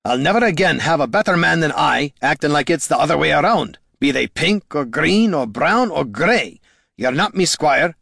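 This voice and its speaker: A man voicing a blunt, stubborn, warm-hearted veteran fantasy hero with emphatic, rustic dialect speech. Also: a little high, gravelly, husky, dry recording, studio quality, pitched above the performer's own voice, full character voice. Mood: fierce, emotional.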